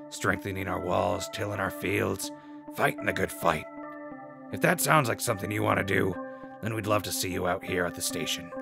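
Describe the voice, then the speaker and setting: A man playing a sardonic, unflappable radio host in a post-apocalyptic audio drama, with a low, gravelly voice and folksy patter. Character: wry male wasteland radio show host, unflappable and sardonic.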